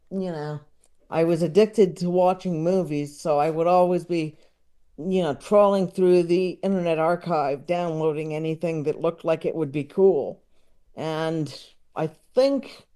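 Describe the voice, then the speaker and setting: A man's voice: a little high, fairly even intonation, unhurried, mellow, clear, resonant tone. A man chatting casually as an interview guest, hesitant and rambling, with a self-deprecating way of talking. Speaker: podcast guest, comedy writer and filmmaker.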